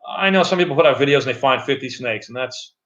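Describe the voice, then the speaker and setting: A middle-aged man with a loud voice, chatting loosely on a live stream from an echoey room at home, with many fillers and restarts. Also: medium pitch, expressive, fast, dull audio. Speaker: channel host. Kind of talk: casual live-stream chat.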